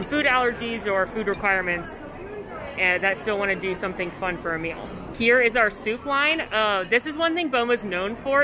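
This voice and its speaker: A young woman with an upbeat, gushing, chatty manner, giving a casual food review as a vlogger. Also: medium pitch. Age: young adult. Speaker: food and theme park vlogger. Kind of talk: enthusiastic casual vlog review and food tour. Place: large buffet restaurant, indoors. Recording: busy restaurant crowd noise. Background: crowd chatter.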